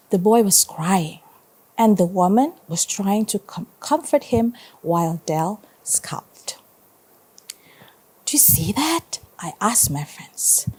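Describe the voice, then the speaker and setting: Mellow Indonesian-accented woman reading aloud. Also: medium pitch, breathy edge. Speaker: primary school teacher. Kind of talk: read-aloud storytelling for children.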